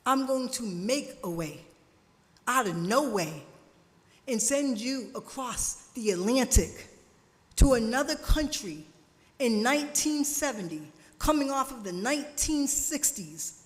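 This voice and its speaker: A woman preaching a sermon at a church service, slow and emphatic, drawing responses from the congregation, her family from Jamaica. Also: on the high side, lively intonation. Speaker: guest speaker at a church service. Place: church sanctuary. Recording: church hall reverb.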